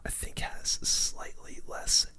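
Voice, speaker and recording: A person speaking in a breathy, unvoiced whisper, giving casual ASMR gameplay commentary with gamer slang. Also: slow pace, sharp tone. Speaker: gaming video host.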